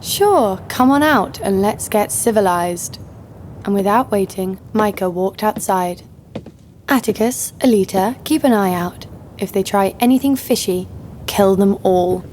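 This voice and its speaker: A woman playing a jaded, sardonic killer who narrates her own story in a deadpan way for an audio drama. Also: mid-range voice, a little slow, a little hiss or hum, lightly acted. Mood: wry. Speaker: narrator and lead actor. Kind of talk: first-person scripted narration.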